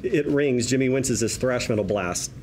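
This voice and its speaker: A man narrates a screenplay's action in scripted present tense at a table read. His medium-pitched delivery is vivid and wry, with some noise in the room. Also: moderate pace. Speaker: stage directions reader.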